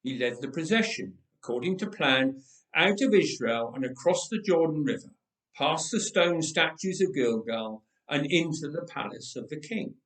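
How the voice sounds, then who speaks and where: A man reading a story aloud in a dramatic, expressive, measured way with deliberate pauses. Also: fairly high pitch, recorded from a distance. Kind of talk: dramatic scripted story narration. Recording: roomy, echoing indoor sound.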